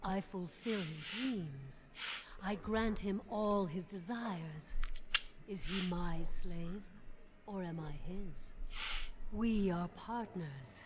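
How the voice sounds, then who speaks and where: An actress playing a seductive, possessive female demon who poses as a loving wife in a video game, speaking in a measured, coaxing way. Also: lowish voice. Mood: measured, persuasive. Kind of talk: video game character acting.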